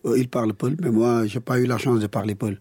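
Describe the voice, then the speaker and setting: Husky-voiced man, halting speech. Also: medium pitch, dry recording. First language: Wolof. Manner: halting, repeats words, relaxed. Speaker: guest. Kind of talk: casual radio interview answers.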